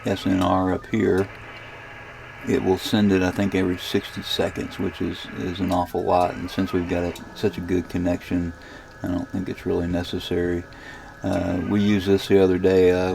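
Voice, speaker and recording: A man casually narrating a software demonstration as a radio hobbyist, thinking aloud in a hesitant, rambling way with a gravelly voice. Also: sits low, slight background noise, rough recording.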